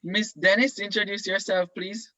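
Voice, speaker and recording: A man's voice: high voice, fairly even intonation, normal speed, pushed and bright, no room sound, muffled recording. A man casually hosting an online event as he introduces guests. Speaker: host. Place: online video call.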